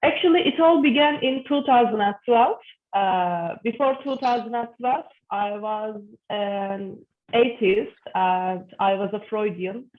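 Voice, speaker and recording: A woman of about thirty-two with a Turkish accent and a mid-pitched voice. She speaks as an interview guest, giving an earnest personal account in deliberately chosen phrases. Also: phone-quality sound. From Istanbul, Turkey. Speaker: guest, psychologist and yoga teacher.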